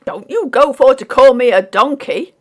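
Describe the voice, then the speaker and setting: A blunt, stubborn dock porter from a storybook, acted out by a woman, speaks. His delivery is loud and dogged, with pitch that swings widely as he insists on his point. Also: expressive, moderate pace, lightly acted. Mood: dogged, indignant. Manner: dogged, blunt, ungrammatical dialect speech. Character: gruff, stubborn male English dock porter, working-class. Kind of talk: audiobook character voice.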